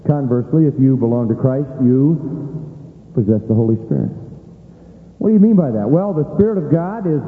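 Preacher asking rhetorical questions, echoey. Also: male voice, mid-range voice, expressive, a little fast. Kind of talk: sermon. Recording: echoey hall on a tinny line.

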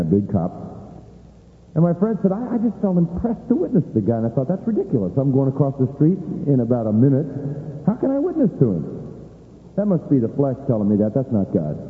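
A man preaching a sermon with emphatic rhetorical questions, recorded in a church. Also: medium pitch. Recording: echoey hall on a tinny line.

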